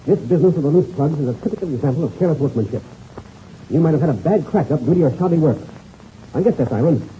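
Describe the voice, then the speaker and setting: An actor in an old-time radio serial playing a stern, principled race pilot and leader, firm and lecturing in manner. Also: male voice, fairly high pitch, expressive, quick pace, dark tone, lightly acted. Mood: stern, scolding. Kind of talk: 1930s radio serial acting. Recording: muffled old radio recording, faint noise.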